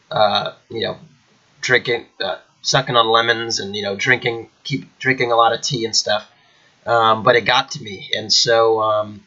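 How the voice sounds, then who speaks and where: A man's voice: mid-range voice, sharp tone, dull audio. A man with a cold, a little nasal and congested, chatting casually at home as host of his chess channel, rambling with many fillers. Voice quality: slightly nasal. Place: at home indoors.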